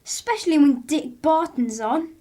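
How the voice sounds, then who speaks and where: Actor playing an eager, high-pitched young boy. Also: lively intonation, moderate pace, mellow. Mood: excited. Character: eager, inquisitive young boy, son of the family. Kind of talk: radio play acting.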